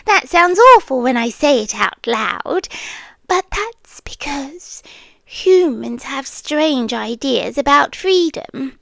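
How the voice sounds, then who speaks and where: A British woman playing a possessive, adoring vampire girlfriend in an ASMR roleplay, speaking softly and breathily and often slipping into a whisper. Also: high-pitched, animated, takes it slowly, dry recording, heavily acted. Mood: coaxing, possessive. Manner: soft, breathy, often dropping into whispers, coaxing. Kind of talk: ASMR roleplay, slow intimate delivery with whispering.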